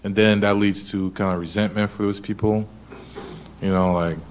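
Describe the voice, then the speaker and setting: Lower-pitched man speaking earnestly over faint background noise. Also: little pitch movement, moderate pace. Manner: earnest, fillers of "you know". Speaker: church group member.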